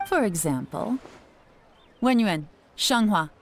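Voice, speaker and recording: A woman's voice: mid-range voice, lively intonation, slow pace, soft background music. A woman playing a cool, shrewd intelligence chief in a video game, speaking in a composed, knowing and authoritative way. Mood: calm, knowing.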